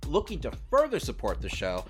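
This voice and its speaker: A man's voice: a little high, huge pitch swings, a little fast, soft background music. A man co-hosting a casual pop culture podcast, talking conversationally with lots of "like" and "you know". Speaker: podcast co-host.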